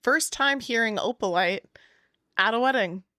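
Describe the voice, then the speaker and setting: A woman co-hosting a casual podcast over a video call, giggly and upbeat, her voice a little raspy after a weekend wedding. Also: a little high, lively intonation, moderate pace, sharp tone, no room sound, studio quality. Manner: giggly, upbeat, frequent laughs and fillers. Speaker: podcast co-host. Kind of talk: casual chat. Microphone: video call setup.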